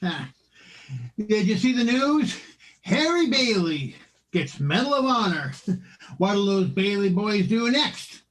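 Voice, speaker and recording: A man's voice: sits high, sing-song, slow pace, pauses often, a bit bright, full character voice, low-quality recording. An actor playing a forgetful, bumbling old uncle in a radio play, muddled and hesitant in his talk. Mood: gleeful, taunting. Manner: muddled, hesitant, muttering to himself.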